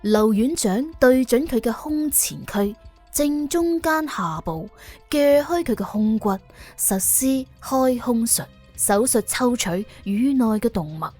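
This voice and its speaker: A woman's voice: fairly high pitch, expressive, takes it slowly, a little mellow, faint music behind. A woman with a Cantonese accent reading a scripted suspense audiobook as its narrator.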